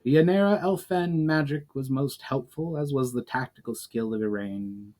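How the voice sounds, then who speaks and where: A man's voice: mid-range voice, normal speed, a little mellow, natural voice. A loyal fantasy war commander, acted out by a man, reporting to his lord. He speaks formally and courteously, as in a storybook.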